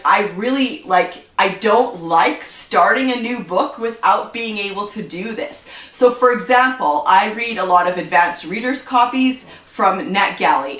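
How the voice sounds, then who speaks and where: A woman's voice: mid-range voice, animated, a little slow, few pauses, a bit bright, roomy sound, distant mic. A woman chatting casually about her reading habits on her book channel, stuffed up from allergies and rambling with lots of fillers. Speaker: book vlogger. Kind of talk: casual chat to camera.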